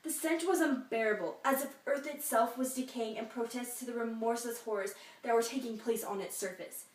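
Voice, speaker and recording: A woman's voice: on the high side, a little fast, close to an everyday voice. A woman playing a boastful, bitter dismissed male Civil War general in a pompous, formal soliloquy. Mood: grave, disdainful. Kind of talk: memorized history class soliloquy. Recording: echoey room, distant microphone.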